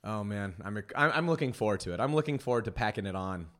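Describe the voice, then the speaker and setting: A man's voice: on the low side, animated, quick pace, fairly bright tone. A man co-hosting a casual podcast about cocktails, brash and joking, swearing freely and restarting his sentences. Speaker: podcast co-host.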